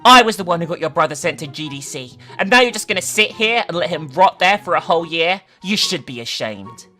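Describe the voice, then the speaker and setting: A woman's voice: on the low side, lively intonation, fairly quick, bright, forceful tone, faint music behind. A woman voicing a taunting, aggressive boy bully in a story, goading and sneering as he itches for a fight.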